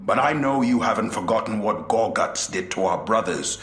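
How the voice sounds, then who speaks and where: An actor playing a sober space marine comrade in a game cutscene, measured and serious. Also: male voice, on the low side, lively intonation, a bit bright, a little hiss or hum. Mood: concerned. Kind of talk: video game cutscene acting. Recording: echoing, faint music behind. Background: faint music.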